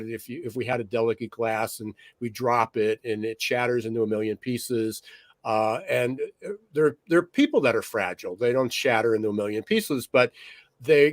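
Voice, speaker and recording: Slightly raspy man, dry podcast mic. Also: medium pitch, no room sound. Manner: loose, with frequent fillers and restarts. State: slightly raspy from smoky air. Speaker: podcast co-host. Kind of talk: conversational podcast monologue.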